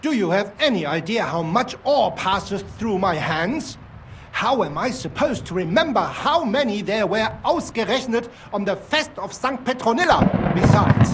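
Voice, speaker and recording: An actor playing a nervous, defensive middle-aged ore assayer with a German accent, for a video game. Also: male voice, high-pitched, fairly bright tone, slight background noise. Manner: defensive, then frightened and confessing.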